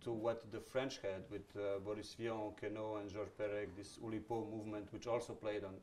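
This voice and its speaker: A man's voice: on the low side, level tone, fairly quick, darkish tone, slight background noise. A man moderating an onstage conversation, chatty, with many fillers and false starts.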